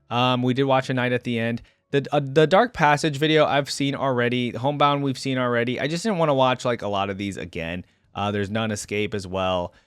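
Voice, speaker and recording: A man's voice: medium pitch, no echo. Man reading and commenting casually, dry close sound. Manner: casual, thinking aloud. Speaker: reaction video host.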